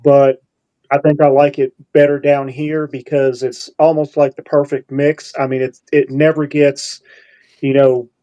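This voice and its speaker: A man's voice: mid-range voice, level tone, measured pace, dark tone, muffled recording. A man who grew up in upstate New York, a guest on a casual video-call chat, speaking in an easygoing way with repeated words and "y'all".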